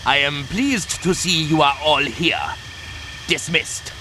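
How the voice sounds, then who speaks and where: An actor playing an officious prison camp commandant in a video game, clipped and formal. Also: male voice, a little high, slow, background noise. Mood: brisk. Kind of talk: video game cutscene acting.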